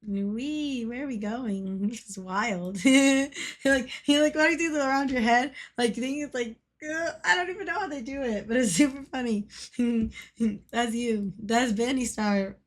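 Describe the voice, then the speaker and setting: A young woman chats casually while streaming a game, her voice pitched a little high and slightly hoarse. She giggles often and rambles, stringing thoughts together with lots of fillers. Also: normal speed. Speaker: livestreamer. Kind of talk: casual chat while gaming.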